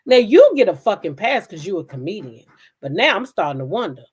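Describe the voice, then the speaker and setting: A woman's voice: mid-range voice, very animated, a little fast, a little mellow, slightly rough. Blunt, emphatic woman livestream host. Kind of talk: casual live reaction commentary.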